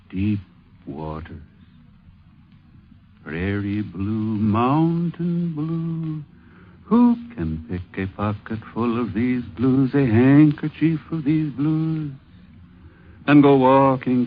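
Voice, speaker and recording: A man reciting poetry in measured, drawn-out phrases with pauses, on a 1950s radio recording. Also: mid-range voice. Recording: tinny, narrow-band 1950s radio recording.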